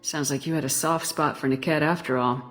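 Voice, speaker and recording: A woman's voice: low voice, steady tone, brisk, long reverb tail, soft background music, lightly acted. A woman playing a tough, businesslike human commander in a science fiction video game, speaking directly. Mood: direct, composed.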